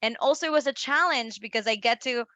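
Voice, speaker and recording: A woman from Mexico whose first language is Spanish, chatting as an interview guest with a bright, forceful, fairly high voice. She talks in an animated way, laughs easily and restarts her sentences often. The sound is dry and close to the mic. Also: quick pace, dry recording. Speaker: marketing consultant guest. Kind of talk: casual interview.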